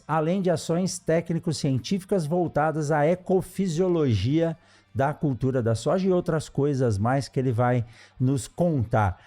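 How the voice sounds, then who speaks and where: A man hosting an agriculture podcast, introducing his guest with effusive praise and frequent tag questions. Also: fairly high pitch. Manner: warm, effusive with frequent "né" tags and restarts. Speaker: podcast host. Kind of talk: interview introduction.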